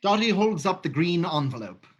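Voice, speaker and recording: Man, stage directions, matter-of-fact, high voice. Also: sing-song, moderate pace, muffled recording, slight background noise. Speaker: narrator reading stage directions.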